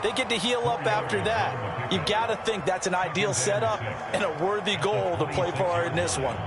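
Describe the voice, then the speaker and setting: A man's voice: high voice, lively intonation, moderate pace, heavy background noise. A man with an American accent acting as a folksy color commentator, analyzing football plays in a sports video game. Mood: approving. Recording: music and loud crowd behind voice.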